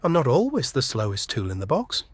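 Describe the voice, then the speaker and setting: An actor playing a slightly slow, earnest and easily baffled man in an audio drama, talking hesitantly and naturally. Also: mid-range voice, wildly swooping pitch, breathy edge, no room sound.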